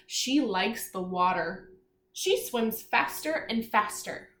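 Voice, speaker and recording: A woman teacher reading aloud to young children, pausing to explain simply, ask questions and encourage her listeners. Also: mid-range voice. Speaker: elementary school teacher. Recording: echoey room, faint background noise.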